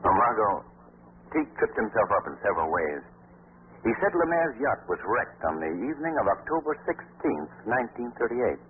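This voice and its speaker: An actor playing a self-assured amateur sleuth, speaking in a suave, measured, wry 1940s radio style. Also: male voice, medium pitch, big pitch swings, natural voice. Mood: suave, amused. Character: self-assured adult male amateur sleuth, calmly explaining. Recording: crackly, narrow-band 1940s radio recording.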